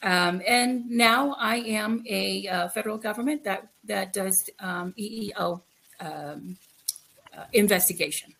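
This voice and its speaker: A middle-aged woman from the Philippines giving a candid, reflective personal account as an interview guest, halting and repeating phrases for emphasis. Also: medium pitch, a bit bright. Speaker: guest, author. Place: indoor room, video call. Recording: noisy video call.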